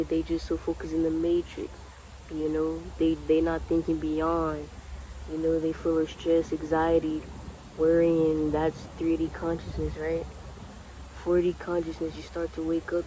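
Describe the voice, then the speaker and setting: A man's voice: fairly high pitch, dark tone. Young man, early twenties, laid-back, repetitive, earnest, outdoors by the water, noisy recording. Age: 20s. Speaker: vlogger. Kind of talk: casual spiritual motivational talk.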